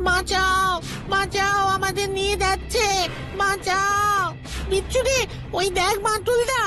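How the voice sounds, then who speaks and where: Woman doing mischievous little cartoon boy, tiny high voice, eager, excitable, music underneath. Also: a little slow. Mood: terrified. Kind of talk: Bengali children's cartoon acting. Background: background music.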